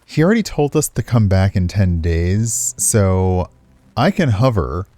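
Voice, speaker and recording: Mellow, expressive man reading a story game. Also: sits low, unhurried, dry recording. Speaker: game streamer. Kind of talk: expressive narration of a visual novel with casual commentary.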